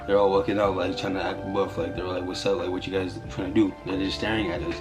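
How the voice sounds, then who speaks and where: A man's voice: low voice, little pitch movement, fast talker. A young man telling a story in a casual, slangy vlog style with music behind him. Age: young adult. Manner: slangy, conversational. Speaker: YouTuber telling a story to camera.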